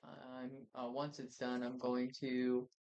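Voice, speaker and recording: A man with a mid-pitched voice co-hosts a relaxed, informal chat. He sounds laid-back and conversational, as if talking with friends and followers. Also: slow, dull audio. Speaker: podcast co-host reading viewer comments. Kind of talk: casual chat.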